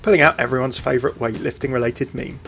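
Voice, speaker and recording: A man's voice: mid-range voice, fairly even intonation, fast talker, gravelly, fairly bright tone. A man hosting a video game lore video in scripted narration with chatty asides. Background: faint music.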